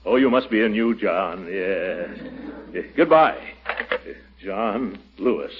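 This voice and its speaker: An actor playing a pompous, blustery middle-aged uncle who flusters easily, in 1940s radio comedy style. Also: male voice, fairly low pitch, lively intonation. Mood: flustered. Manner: blustery, drawn-out exclamations and hesitations. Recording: dull, hissy 1940s radio recording.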